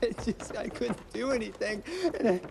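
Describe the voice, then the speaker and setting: An actress playing a traumatized female witness in a video game, distraught, halting and stammering. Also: mid-range voice, vocal fry, noisy background, natural voice. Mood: distraught, shocked.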